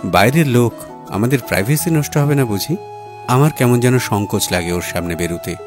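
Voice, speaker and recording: A man acts out a young housewife in an audiobook story, bashful but teasing. The delivery is coy and expressive in pitch. Music sits behind it. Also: brisk, natural voice. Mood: hesitant, bashful.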